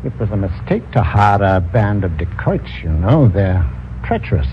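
An actor playing a wary colonel who warns in an earnest, measured way, in a 1950s radio drama. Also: male voice, low voice, very animated, slight background noise. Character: older male colonial army colonel, cautious. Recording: dull lo-fi old radio recording.

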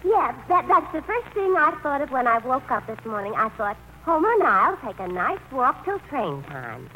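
An actress playing a sweet, chirpy, self-effacing sweetheart who is earnest and accommodating, in a 1940s radio play on a noisy old broadcast. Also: female voice, sits high, big pitch swings, moderate pace, bright, forceful tone, full character voice. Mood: bright, hopeful.

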